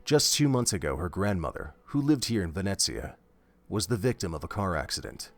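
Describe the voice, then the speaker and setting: A man narrating a scripted story in a measured, steady way. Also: deep voice. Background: faint music.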